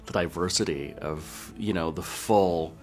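A man's voice: sits low, fairly even intonation, measured pace. A man from Singapore speaking earnestly and reflectively in a studio interview. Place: studio, colored backdrop. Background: faint music.

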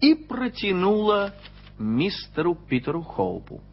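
A man narrating a radio play in an expressive storytelling manner. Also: high voice, unhurried, slightly rough. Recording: dull lo-fi old radio recording.

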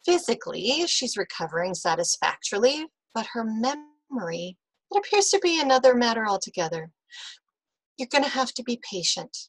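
A kindly veteran nurse in a radio mystery played by a woman, frank and reassuring. Her slightly high voice moves in lively swings. The sound is dry. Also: normal speed, dry recording, rough recording. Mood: grave, careful. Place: indoor video call.

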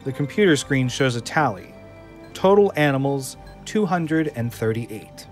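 A man narrating the scene directions of an audio drama in an even, descriptive manner. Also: mid-range voice, measured pace, faint music behind. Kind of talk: scripted narration of stage directions.

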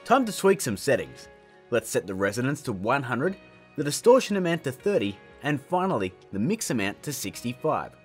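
A man's voice: mid-range voice, big pitch swings, normal speed, soft background music. A man in his thirties hosting a casual scripted tutorial in a jokey, emphatic, theatrical way. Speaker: tutorial host.

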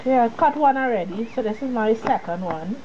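A woman's voice: fairly high pitch, expressive, a little fast, mellow, muffled recording, slight background noise. A woman with a cold and a sniffle, walking viewers through a sewing project in a chatty, casual way. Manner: chatty, talks straight to the viewer. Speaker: sewing tutorial host. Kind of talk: casual step-by-step sewing tutorial.

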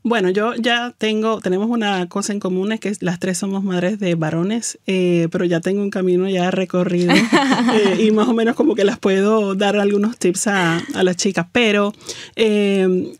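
A middle-aged woman speaking as a podcast guest, reflective and hesitant, pausing often. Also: mid-range voice, fairly even intonation, no echo. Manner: hesitant, many "eh" fillers, reflective. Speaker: TV general producer, podcast guest. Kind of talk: podcast interview in Spanish.

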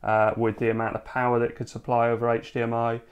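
A man's voice: mid-range voice, monotone, fast, lo-fi sound. A man casually narrating a hands-on tech demo at home, thinking aloud with plenty of fillers. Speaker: tech video host. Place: home room, at a dining table.

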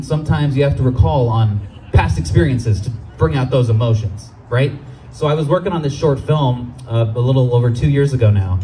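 Animated young male stand-up comic. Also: slight background noise, low-quality recording. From Los Angeles. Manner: animated, acts out quoted people in high voices. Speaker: stand-up comedian. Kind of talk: stand-up comedy set. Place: outdoor brewery stage. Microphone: handheld mic.

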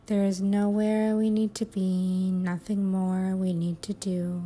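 Female meditation guide: very slow, even delivery, soothing, measured phrasing, music underneath. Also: mid-range voice. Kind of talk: guided sleep hypnosis, affirmations.

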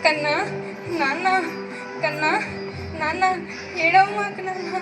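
A woman's voice: very high-pitched, fairly even intonation, moderate pace. A woman voicing a destitute, pleading woman in an audio drama, crying and begging as she talks. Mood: despairing, wailing. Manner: tearful, pleading, wailing. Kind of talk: melodramatic audio drama acting. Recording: background music under voice.

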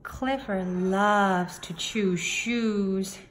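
Female story reader for young kids, very unhurried and deliberate, recorded indoors with slight background noise. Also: mid-range voice, fairly even intonation. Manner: deliberate, simple phrasing with pauses between phrases. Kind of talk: picture book read-aloud for young children. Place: indoor room, book filmed on a table. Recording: faint room noise behind the voice.

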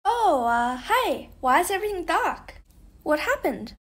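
A woman's voice: very high voice, very animated, unhurried, heavily acted. A woman voicing a naive, hesitant young sailor boy in an amateur cartoon, often questioning. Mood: confused.

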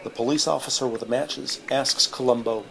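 A man narrating a detective audio drama in measured, descriptive present-tense storytelling. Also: mid-range voice, steady tone, gravelly, a crowd in the background. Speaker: podcast narrator. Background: music.

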